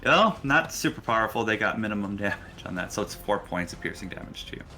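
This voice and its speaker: A man's voice: lowish voice, lively intonation, quick pace, bright, forceful tone, faint music behind. A man narrating a tabletop roleplaying game as the game master.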